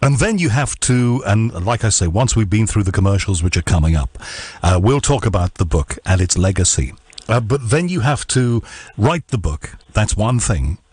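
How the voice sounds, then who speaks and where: A man's voice: lowish voice, expressive, normal speed, darkish tone, husky, no echo. A man hosting a radio interview, asking questions in a conversational, encouraging way. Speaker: interview host.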